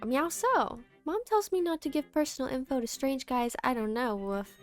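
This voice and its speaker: A wary little child from a video game, played by a woman, in a high voice. The kid peppers speech with barks and meows, over background music. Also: very animated, moderate pace. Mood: suspicious. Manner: ends phrases with woof and meow. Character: wary young child who tacks animal noises onto speech.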